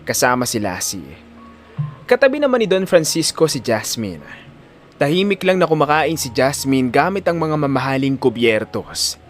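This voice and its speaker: A man with a Filipino accent reading scripted, dramatic story narration. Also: fairly high pitch.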